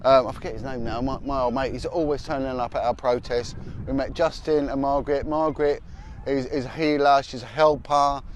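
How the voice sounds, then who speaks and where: A man's voice: mid-range voice, steady tone, fairly quick, slight background noise. A middle-aged man, hosting a live podcast outdoors in a city park, talking bluntly and with passion and repeating himself as he gets fired up. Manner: blunt, impassioned, repeats words when fired up. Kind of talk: outdoor live-stream chat with passers-by.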